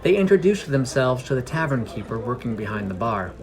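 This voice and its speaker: A man reading a scripted fantasy story in a measured storytelling manner. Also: medium pitch, big pitch swings, crowd noise. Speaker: podcast narrator. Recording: music under the voice. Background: music.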